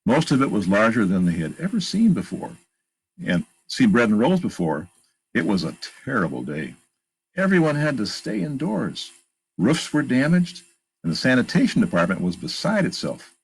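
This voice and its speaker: A man's voice: mid-range voice, wildly swooping pitch, normal speed, breathy edge, poor audio. A man reading a children's picture book aloud, expressively, with plain asides about the pictures. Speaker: storytime reader and narrator.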